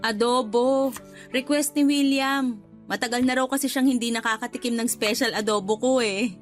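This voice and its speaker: An actress plays a married woman in a radio drama, keeping house and laying down the law to her brother. Her voice is high, emphatic and preachy, over a soft music bed. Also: steady tone, normal speed, natural voice. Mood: cheerful. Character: exasperated married woman, homeowner scolding her older brother. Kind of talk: Tagalog radio drama acting.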